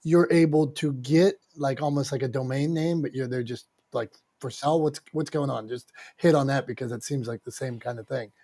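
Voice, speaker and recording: A man's voice: medium pitch, fairly even intonation, moderate pace, mellow. A man co-hosting a casual panel, calling on speakers by name and passing them the floor. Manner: friendly moderator who calls on speakers and hands over the floor. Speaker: co-host and moderator. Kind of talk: casual panel discussion in a social audio room.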